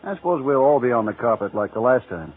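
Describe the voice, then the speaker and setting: An actor playing a sour, gossipy office clerk with a slangy, grumbling manner in 1940s radio drama. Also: male voice, mid-range voice, big pitch swings, fast, narrow, tinny audio, a little hiss or hum, lightly acted. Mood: worried, wry. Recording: thin old radio recording.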